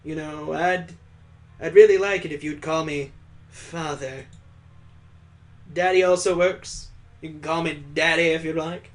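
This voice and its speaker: A man's voice: on the high side, fairly even intonation, unhurried, pauses often, natural voice. A man voicing a jovial, sentimental old father-in-law, hearty and earnest, in a visual novel read aloud. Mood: joking, affectionate.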